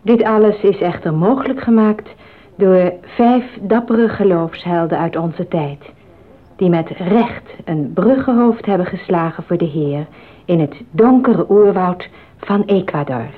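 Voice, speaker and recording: A woman's voice: fairly low pitch, unhurried. A woman narrating a scripted documentary drama in a solemn style. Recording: narrow phone-like old radio sound.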